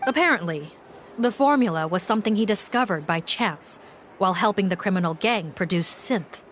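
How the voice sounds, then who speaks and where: A woman's voice: medium pitch, lively intonation, normal speed, slight background noise, natural voice. A woman voicing a composed female police officer in a game, giving a matter-of-fact report. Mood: businesslike. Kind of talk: game cutscene voice acting. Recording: game audio with music behind.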